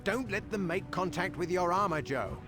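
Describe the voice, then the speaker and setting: A man's voice: high-pitched, lively intonation, normal speed. A man playing a wary, dutiful intelligence officer in a video game, formal and earnest in clipped military phrasing. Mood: urgent advising. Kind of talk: video game briefing dialogue. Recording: loud orchestral music behind. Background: loud game music.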